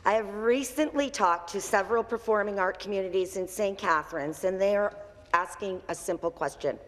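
A woman reading a measured question from notes as an opposition member in a large legislative chamber, on a desk microphone. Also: medium pitch, big-room sound.

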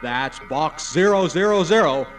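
Casting: male actor as earnest hard-sell announcer for spoof radio ads, high voice, music underneath. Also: lively intonation, measured pace. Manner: emphatic, sentimental hard sell. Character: excitable TV record-offer pitchman, comically earnest. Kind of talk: parody commercial announcing.

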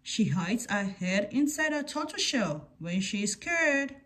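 A woman's voice: medium pitch, sing-song, a little slow, fairly bright tone. A woman reading a picture book aloud to children as a scripted story-time narration.

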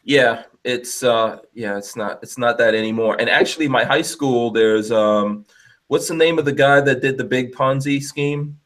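A man in his forties, from Guyana, hosting a podcast in casual chat over a video call, animated and joking, laughing often. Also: medium pitch, moderate pace. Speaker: podcast host. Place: home studio room. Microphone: headset on a video call.

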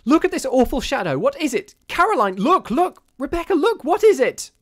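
A man's voice: medium pitch, very animated, very fast, a little mellow, dry recording, studio quality, voice pushed up, natural voice. A man voicing a proud married woman gripped by horror, exclaiming in dread in a story. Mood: shrieking horror. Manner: exclaiming, horror-stricken, stammering when shaken.

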